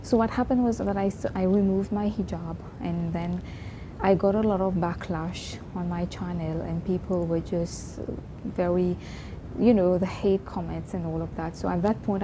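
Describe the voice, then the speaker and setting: Soft-spoken young woman vlogging, hum behind. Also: fairly low pitch, steady tone. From Mauritius. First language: Mauritian Creole. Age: 20s. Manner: reflective, earnest, many restarts and pauses. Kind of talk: casual personal vlog talk.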